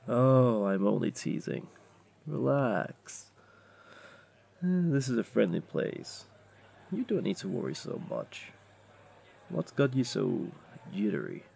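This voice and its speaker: A man's voice: mid-range voice, sing-song, vocal fry, darkish tone, husky, exaggerated voice. A man voicing a flirty, laid-back jaguar beastling, a tavern regular who teases and coaxes the listener in a roleplay audio drama. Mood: teasing, reassuring. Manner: playful, coaxing, unhurried teasing.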